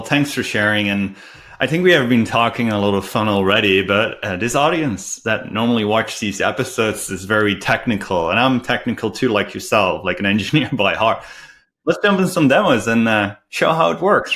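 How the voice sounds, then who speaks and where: A man hosts a casual technology interview and asks his guest questions in a friendly, easygoing way. His voice sits fairly low, and his informal speech leans on "like" as a filler. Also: normal speed, a bit bright. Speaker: show host.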